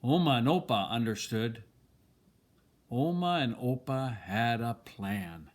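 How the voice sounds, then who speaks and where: An older man reading a children's picture book aloud, expressively and deliberately, with dramatic pauses. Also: mid-range voice, lively intonation. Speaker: storybook reader.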